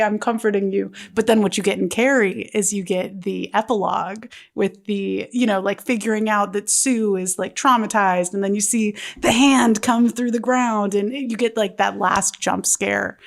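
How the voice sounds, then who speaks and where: Female panelist, middle register, gravelly fry, casual, tentative, halting delivery. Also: medium pitch, steady tone. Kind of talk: casual script discussion.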